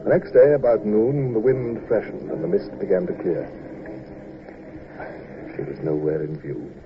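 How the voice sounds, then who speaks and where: An actor playing an imperious, irascible naval commander with a dry humour, in brisk old radio drama style. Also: male voice, fairly low pitch, natural voice. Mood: measured narrating. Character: imperious, irascible British naval commander at sea, dryly humorous. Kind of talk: 1950s radio drama acting, first-person narration and dialogue. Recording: dull, hissy old radio broadcast recording.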